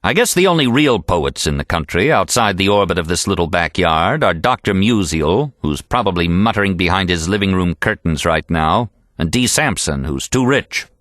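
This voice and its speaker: A man voicing a pompous, sharp-tongued male poet in an audiobook, declamatory, sneering and boastful. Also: low-pitched, animated, normal speed, hardly any pauses, no echo, clean studio sound. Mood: boastful, sardonic. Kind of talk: audiobook character reading.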